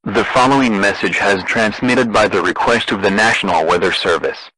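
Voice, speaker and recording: A man with a fairly low but bright, forceful voice delivers a scripted emergency alert. His delivery is formal and deadpan, like an official announcer. The sound is very dry, with no room around it. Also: normal speed, slightly rough, no echo, low-quality recording. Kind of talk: scripted emergency broadcast narration.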